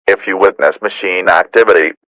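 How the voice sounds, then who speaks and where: A man with a fairly low voice reads a scripted emergency alert. His delivery is formal and measured, like an official announcer. The sound is very dry, without any room echo. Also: steady tone, a bit bright.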